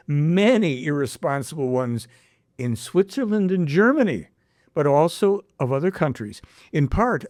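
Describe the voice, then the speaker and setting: A man's voice: a little high, a little mellow, no room sound, studio quality. A man hosting a podcast, reading a prophetic text aloud and breaking off with his own commentary and rhetorical questions. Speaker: podcast host. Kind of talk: reading aloud and casual discussion.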